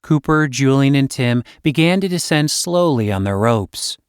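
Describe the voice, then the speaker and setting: A man's voice: mid-range voice, animated, moderate pace, smooth, dry recording, clean studio sound. A man reading a fantasy story as scripted narration, as an audiobook reader.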